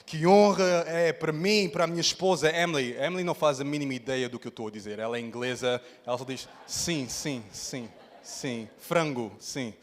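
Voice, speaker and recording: A man's voice: mid-range voice, brisk. Portuguese-accented male guest preacher, playful and exclamatory, long church reverb. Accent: European Portuguese. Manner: playful, exclaiming, talks straight to the congregation. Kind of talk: lively sermon opening. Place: large church hall.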